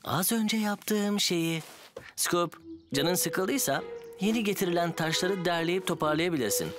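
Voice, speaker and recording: A man's voice: high-pitched, measured pace. An actor playing an upbeat male builder who leads his crew in a children's cartoon, with an encouraging, instructive delivery. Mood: busy, patient. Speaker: team leader. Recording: music behind the voice.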